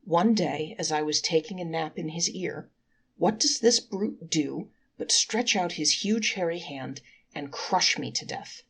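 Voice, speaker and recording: A woman reads as a tiny storybook flea giving testimony. The delivery is plaintive and indignant, with a gravelly creak. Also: lowish voice, measured pace, fairly bright tone, exaggerated voice. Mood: aggrieved.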